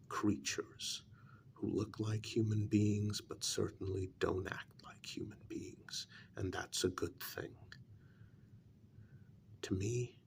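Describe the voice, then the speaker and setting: Man playing a gravelly, half-whispering devoted vampire's familiar. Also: lowish voice, full character voice. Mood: earnest, confiding. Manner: slow, deliberate, hushed, with long pauses. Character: devoted, intense human familiar of a vampire queen. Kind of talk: soft-spoken ASMR role-play.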